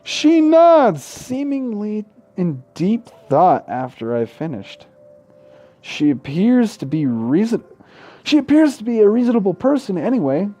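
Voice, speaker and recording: Sex: man. Pitch: highish. Tone: mellow. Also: sing-song, a little slow. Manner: irreverent, sweary heckling between read passages. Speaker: gaming video host. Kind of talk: reading visual novel narration aloud with casual commentary.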